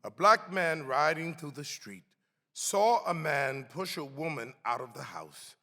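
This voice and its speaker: A man giving a solemn, emphatic dramatic reading of a historical text in a deliberate, theatrical way. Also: fairly high pitch, lively intonation, bright, forceful tone. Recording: echoey hall with long reverb.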